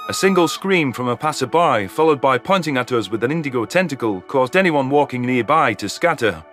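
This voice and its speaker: A man's voice: medium pitch, fairly bright tone. A man reading scripted first-person science fiction narration as an audio story narrator. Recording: music under the voice.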